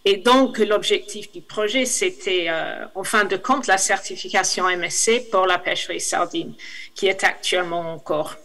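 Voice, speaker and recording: A woman's voice: on the low side, moderate pace, fairly bright tone, low-quality recording. A woman with an English accent presenting in French as a consultant, hesitant with many fillers and restarts, on a video call. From England. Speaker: international consultant. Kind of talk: online conference presentation.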